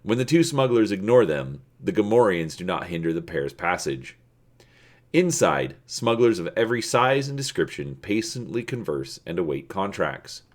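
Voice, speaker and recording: A man hosting and dramatically reading an adventure story, deliberate and stretching words for emphasis. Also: sits low, big pitch swings. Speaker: video host and storybook narrator.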